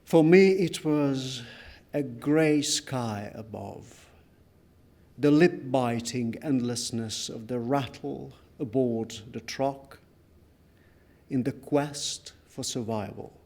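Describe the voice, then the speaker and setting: A man giving a dramatic literary reading of a first-person account, solemn and deliberate, with weighty pauses. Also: mid-range voice, a little mellow. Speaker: reader at a book launch.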